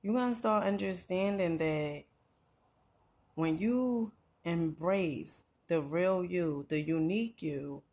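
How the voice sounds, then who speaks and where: A woman's voice: lowish voice, takes it slowly, a little mellow. A middle-aged woman from New Jersey giving an earnest motivational talk, conversational and full of "you know" and "right?".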